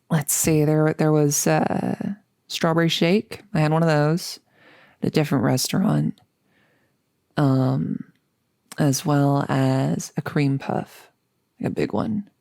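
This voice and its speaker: Woman acting a low, gravelly, earnest girlfriend. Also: no echo, clean studio sound, full character voice. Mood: chatty, wistful. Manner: hesitant, rambling, earnest, softly sighing. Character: nervous, resolute woman about to become a vampire. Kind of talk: intimate ASMR audio roleplay.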